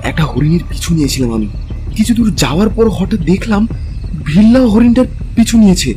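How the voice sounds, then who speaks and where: Man acting an agitated, high-voiced young caveman. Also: lightly acted, poor audio. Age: young adult. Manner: breathless, agitated, halting with repeated words. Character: frightened but defiant young caveman hunter with bow. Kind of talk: dramatized audio story acting.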